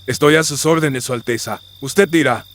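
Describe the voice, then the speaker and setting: A man's voice: mid-range voice, moderate pace, a bit bright, pitched above the performer's own voice, natural voice. A man voicing a devout, brave colonial friar in a horror radio drama, speaking formally, earnestly and with deference. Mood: deferential. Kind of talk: audio drama acting. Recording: clear background noise, clicks and crickets.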